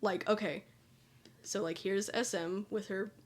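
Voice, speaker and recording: A woman's voice: mid-range voice, steady tone, measured pace. Chatty young woman, somewhat nasal. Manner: rambling, chatty, frequent restarts and asides. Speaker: channel host. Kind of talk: casual chat while drawing.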